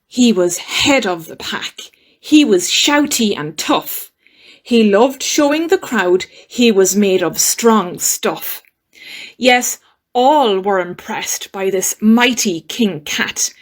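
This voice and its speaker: A woman reading a rhyming children's story aloud as a storyteller, expressively and with dramatic pauses. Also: mid-range voice, expressive. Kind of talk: scripted children's verse reading.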